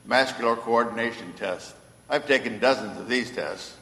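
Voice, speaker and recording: A man playing a kindly, unhurried old man who believes he is Santa Claus, in an old-time radio play. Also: mid-range voice, natural voice. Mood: gently needling. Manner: genial, unhurried, gently probing. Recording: echoey hall stage recording.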